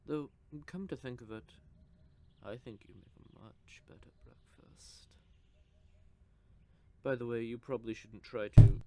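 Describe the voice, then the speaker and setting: A man voicing a smug gravelly vampire. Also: medium pitch, dark tone. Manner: smug, drawling, teasing. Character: teasing possessive male vampire. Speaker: voice actor. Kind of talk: audio roleplay.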